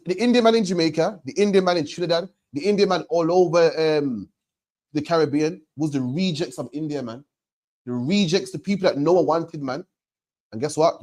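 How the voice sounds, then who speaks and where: A man preaching in an emphatic, repetitive way, hammering each point home. His voice sits a little higher than most men's. He ends his phrases with "man". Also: a little mellow. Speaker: religious teacher. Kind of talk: street preaching to a questioner.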